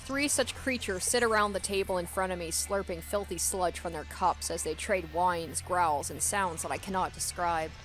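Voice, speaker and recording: A woman's voice: on the low side, moderate pace, few pauses, music in the background, low-quality recording. A woman hosting a let's play, reading a visual novel aloud and adding casual commentary. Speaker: let's play host and narrator.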